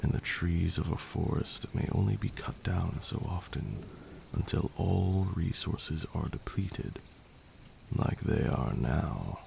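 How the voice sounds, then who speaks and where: A man playing a patient, stern vampire lord who teaches magic in a measured, formal ASMR roleplay. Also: low-pitched, lively intonation, vocal fry, darkish tone, a little hiss or hum, exaggerated voice. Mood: solemn, instructive.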